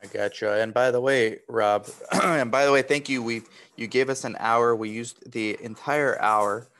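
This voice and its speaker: A man's voice: mid-range voice, normal speed, fairly bright tone. A man from Romania, raised in the US, moderating a webinar in an informal, chatty way with questions and thanks. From born in Romania, raised in the US from age three. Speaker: webinar host.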